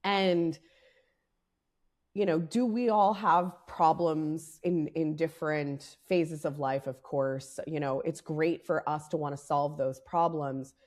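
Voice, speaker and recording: A woman with a raspy, worn voice co-hosts a podcast, speaking somewhat low for a woman. Her talk is casual and candid, building into fired-up rants with frank swearing. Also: a little mellow. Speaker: podcast co-host.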